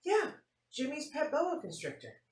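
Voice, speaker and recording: A woman's voice: high-pitched, wildly swooping pitch, a little slow, voice pushed up, heavily acted. A woman voicing a nonchalant, matter-of-fact schoolgirl telling her tale in a picture-book read-aloud. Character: nonchalant schoolgirl recounting an unbelievable farm trip. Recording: roomy, faint noise, distant mic.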